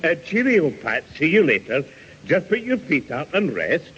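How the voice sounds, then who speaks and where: A blustering, short-tempered old Northern English grandad. His voice is fairly high for a man and leaps about as he grumbles. It is radio sitcom acting on a dull old recording. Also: sing-song, normal speed, fairly bright tone. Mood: brisk. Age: older. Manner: blustering, grumpy. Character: irascible old grandad, quick to threaten. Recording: dull, faintly noisy vintage radio recording.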